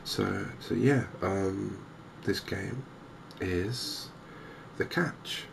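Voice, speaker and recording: A man giving softly spoken, gentle ASMR game commentary in an unhurried, hesitant way. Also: deep voice, vocal fry, noisy background. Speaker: host of a cozy gaming channel.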